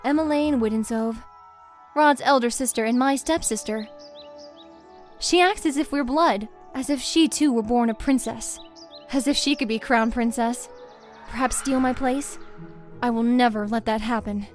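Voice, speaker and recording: A woman's voice: a little high, normal speed, faint music behind, natural voice. A woman voicing a cold, haughty teenage crown princess, narrating formally in a visual novel. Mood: cold, disdainful. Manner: formal, disdainful. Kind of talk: scripted first-person visual novel narration.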